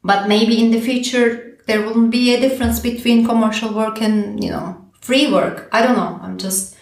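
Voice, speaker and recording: A woman from Turkey, a pro bono director, answering interview questions in a lively way, thinking aloud with many fillers and restarts. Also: on the high side, normal speed, creaky, echoey room. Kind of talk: conversational podcast interview.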